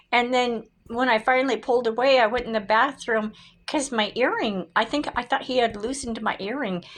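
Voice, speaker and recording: A middle-aged woman, slightly raspy this morning, chatting casually and rambling into her phone as she walks from outside into her home. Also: on the high side, fairly bright tone. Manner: rambling, easily distracted, laughs often. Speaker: vlogger. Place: outdoors then inside a home. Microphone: phone held by hand.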